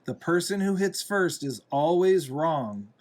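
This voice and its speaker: A man, a father, reading a picture book aloud to a young child in a deliberate, gently explaining, instructive way. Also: on the high side, animated. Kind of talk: read-aloud storybook narration with asides to a young child.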